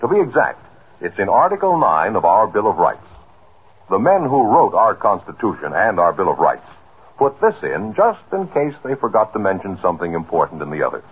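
A male announcer gives a conversational scripted message on vintage radio. His lowish voice rises and falls dramatically as he explains, through a narrow, dated recording. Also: huge pitch swings, a little fast, husky. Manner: folksy, explanatory, emphatic. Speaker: public service announcer. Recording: muffled narrow-band 1950s radio recording.

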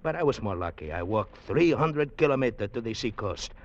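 An actor playing a genial, philosophical café keeper and old revolutionary in a radio drama, with a Spanish accent and exclamatory, searching English. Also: male voice, on the low side, sing-song, a little fast. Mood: rueful. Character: genial Latin American café keeper, former revolutionary captain. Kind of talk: 1940s-50s radio drama acting. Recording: dull vintage radio recording.

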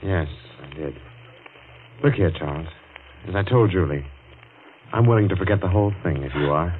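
An actor playing a forgiving, level-headed rival with a measured manner in a 1940s radio drama. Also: male voice, sits low, expressive. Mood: calm, forgiving. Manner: measured, reasonable. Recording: dull, faintly noisy old radio recording.

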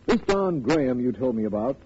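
A man's voice: mid-range voice, sing-song, fairly quick, darkish tone, natural voice. An actor playing an earnest, insistent lawman in a 1940s radio detective drama, explaining things emphatically. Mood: eager, reasoning. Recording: muffled, noisy old radio recording.

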